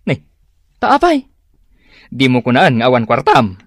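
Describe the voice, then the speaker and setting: Goading Ilocano gambler buddy, animated swooping voice, dry, loud and pushy, radio comedy. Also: male voice, mid-range voice, measured pace, no echo, exaggerated voice.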